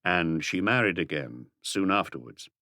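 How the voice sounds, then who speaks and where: Male reader as police chief, lowish voice, dry close sound, terse, measured, authoritative, audiobook detective. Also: lively intonation, sharp tone, dry recording, heavily acted. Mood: calm, inquiring. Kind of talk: audiobook character dialogue.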